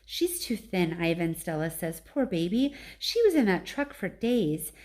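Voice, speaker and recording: A woman's voice: on the low side, sing-song, unhurried. Woman reading as a tired, motherly old elephant. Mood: pitying. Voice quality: tired, hushed. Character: tired, gentle, motherly older female elephant.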